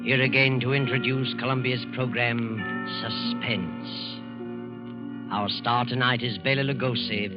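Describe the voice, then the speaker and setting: A man with an American accent delivering measured, theatrical narration as host of a 1940s radio drama. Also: medium pitch. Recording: muffled 1940s radio recording, loud music.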